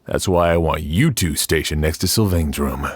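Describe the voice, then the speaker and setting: A man voicing a stern, practical sheriff in a video game, measured and businesslike. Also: extremely low pitch, breathy edge, no room sound.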